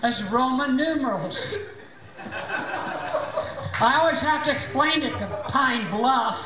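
A man in his seventies doing stand-up comedy on a small tavern stage with a handheld mic. He has a squeaky, high voice after voice surgery and tells dry, self-mocking jokes. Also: slow. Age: 70s. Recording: echoey bar room with crowd.

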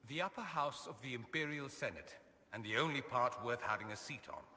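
Man playing a wry vain mage nobleman, drawling, sharp bright tone, long echo. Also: medium pitch, a little fast, close to an everyday voice. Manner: sardonic, drawling, self-amused. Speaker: companion character. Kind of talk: video game dialogue.

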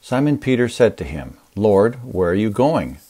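Man, scripture reader, very low voice, animated intonation, expressive. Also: normal speed, husky. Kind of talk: expressive scripture reading of dialogue.

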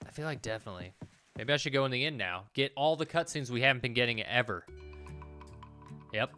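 A man's voice: mid-range voice, a little fast, pushed and bright, faint music behind. A man in his thirties giving casual, rambling game commentary, thinking aloud as he plays. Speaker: gaming video host.

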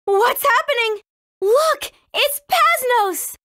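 A woman's voice: extremely high pitch, lively intonation, slow, sharp tone, no room sound, clean studio sound, full character voice. A woman playing a spirited, earnest young girl adventurer in a video game, speaking expressively. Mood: alarmed.

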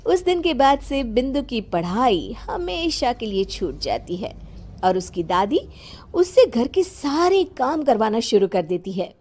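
A woman narrating a moral cartoon story in a plain, even storytelling manner. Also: on the high side, measured pace.

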